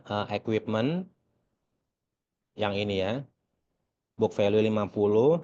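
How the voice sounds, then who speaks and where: Indonesian guy teaching step by step, kinda low voice, keeps asking and answering himself, muffled lo-fi audio. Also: darkish tone, a little hiss or hum. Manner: conversational, asks and answers own questions, frequent check-in tags. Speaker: accounting lecturer. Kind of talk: explanatory lecture walking through a worked exercise. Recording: dull, lo-fi voice recording.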